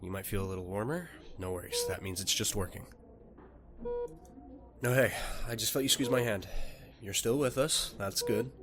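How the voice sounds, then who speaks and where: Casting: male actor as composed emergency doctor for audio drama, lower-pitched, gentle with patients, authoritative with the team. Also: normal speed, lightly acted. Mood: gentle, reassuring. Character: calm, reassuring male emergency doctor tending critical patient. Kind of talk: audio roleplay acting.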